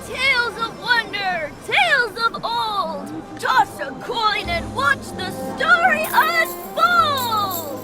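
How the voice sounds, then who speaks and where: A woman's voice: extremely high pitch, sing-song, slow, full character voice. A woman voicing a sing-song street crier of tales, chanting rhymes in a video game. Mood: sing-song, enticing. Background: music.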